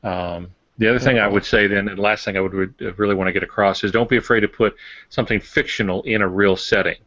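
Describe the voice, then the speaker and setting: An older man giving casual, encouraging writing advice as a podcast co-host, in a measured manner. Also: lowish voice, fairly even intonation, a bit bright, dry recording. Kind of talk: casual instructive talk. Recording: dry, muffled lo-fi online-call audio.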